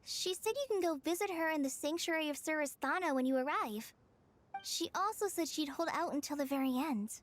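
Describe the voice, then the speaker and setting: A woman's voice: high-pitched, animated, fairly quick, a little hiss or hum. A woman playing an earnest, timid young apprentice ranger girl in an anime-style video game. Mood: worried. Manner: earnest, polite.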